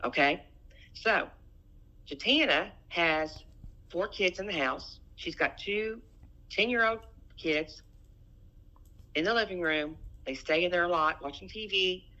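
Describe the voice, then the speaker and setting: Insistent middle-aged woman with a forceful edge. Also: fairly low pitch, expressive, slow pace, pauses often, muffled recording. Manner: insistent, repeats phrases. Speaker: private caller in a family dispute. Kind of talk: heated personal phone argument.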